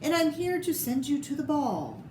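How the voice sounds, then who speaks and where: A woman's voice: high-pitched, big pitch swings, moderate pace, pitched above the performer's own voice. A woman voicing a bossy, showy cow godmother from a storybook, with theatrical declarations. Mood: grand. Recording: echoey room with clear background noise.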